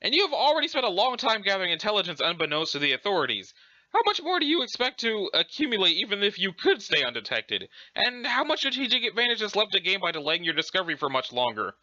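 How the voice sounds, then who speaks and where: A man voicing a chatty, scholarly, excitable alien troll with an affected British accent and a pompous, wordy manner. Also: sits high, quick pace, no room sound, dull audio, full character voice. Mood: stirring, jaunty. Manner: pompous, wordy, effusively enthusiastic. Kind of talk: theatrical character reading of a webcomic.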